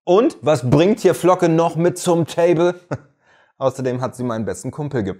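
A man in his thirties hosting a reaction video, commenting in a mocking, animated way from a neon-lit room. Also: normal speed, soft background music. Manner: animated, mocking, jumps in pitch for jokes.

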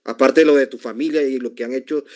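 A man's voice: medium pitch, steady tone, fast talker, darkish tone. Man hosting an interview, probing. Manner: probing, rephrasing the guest's answers. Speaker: interview host. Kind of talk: conversational interview. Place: home studio, indoors. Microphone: large studio microphone.

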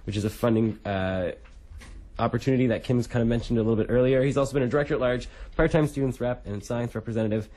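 A man's voice: medium pitch, fast talker, mellow. A young man hosting a casual radio interview in a studio. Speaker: radio host.

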